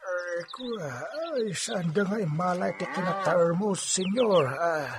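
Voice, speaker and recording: A man's voice: high voice, slow, a crowd in the background. A man playing a timid, deferential ranch servant in an Ilocano radio drama, hesitant, stammering and apologetic. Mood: nervous, hesitant. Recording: very loud music bed behind voice.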